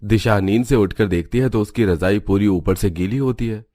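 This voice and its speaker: Male storyteller for animated family tale, lowish voice, scripted narration. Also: normal speed.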